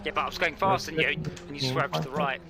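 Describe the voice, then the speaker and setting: A man's voice: on the high side, fast talker. A man running a racing game stream, chatting casually, teasing and laughing at the other players. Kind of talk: casual gaming banter and commentary. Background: music.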